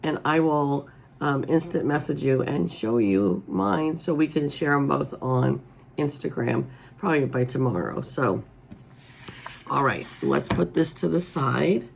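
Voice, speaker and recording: A raspy-voiced middle-aged woman hosting a casual show-and-tell, chatty and homey, filmed at her table at home. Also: very deep voice, lively intonation, unhurried, a little hiss or hum. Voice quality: raspy today. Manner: chatty and homey, many ums. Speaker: coloring channel host. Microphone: overhead camera over the table.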